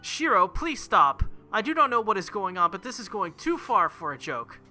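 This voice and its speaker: A man plays a young female knight from a visual novel, earnest and formal to the point of stiffness. The voice is bright and forceful, pitched up, with faint music underneath. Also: sing-song, normal speed, full character voice. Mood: flustered, pleading. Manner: formal, stiff, uncontracted speech.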